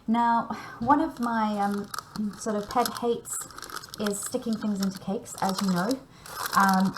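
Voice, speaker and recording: A woman's voice: medium pitch, poor audio. Woman teaching baking over background noise. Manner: instructional, chatty, checking in with okay and right. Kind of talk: hands-on baking demonstration.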